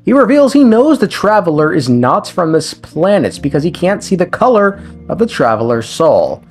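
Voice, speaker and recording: A man's voice: high voice, sing-song, moderate pace. A man giving a casual scripted recap of a video game story as a lore commentator, with irreverent, sweary, slangy asides. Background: background music.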